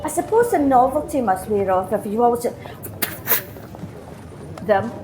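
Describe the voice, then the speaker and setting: Woman acting a lilting, high-voiced Scottish flower seller. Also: lively intonation, a little slow, noisy background. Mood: friendly, wistful. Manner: chatty, gossipy. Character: chatty, admiring female flower seller, sniffly and wistful. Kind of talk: radio play monologue. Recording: street bustle behind voice.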